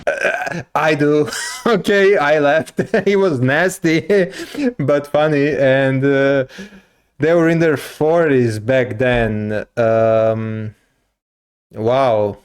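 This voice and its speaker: A man's voice: mid-range voice, steady tone, extremely slow, smooth. A man in his thirties hosting a reaction video, talking casually with lots of fillers and frequent laughs. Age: 30s. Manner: rambling, filler-heavy, laughs often. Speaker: reaction channel host.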